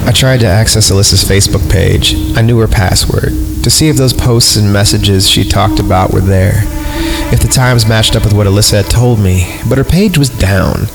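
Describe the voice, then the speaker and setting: A man's voice: fairly low pitch, creaky. A man hosting a horror story podcast, reading dramatically in a loose amateur way with music behind him.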